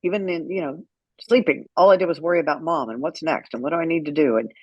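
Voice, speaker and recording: A woman's voice: low voice, fast, no echo, dull audio. A woman speaking as an interview guest, telling her story in a candid, outspoken and emphatic way. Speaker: guest, author and caregiver advocate.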